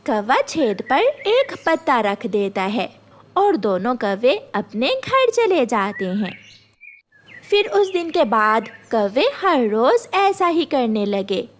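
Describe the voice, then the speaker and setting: A woman's voice: a little high, sing-song, slow pace. A woman storyteller reading scripted narration for a children's story.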